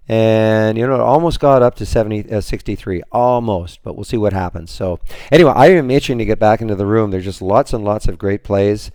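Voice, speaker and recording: A man with a lowish, hoarse voice recaps his trades for a trading room, wandering and restarting as he talks. The sound is dry and close, like a desktop computer mic in a home office. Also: warm tone, no echo. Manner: rambling, many restarts and fillers. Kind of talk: screen-share trading recap.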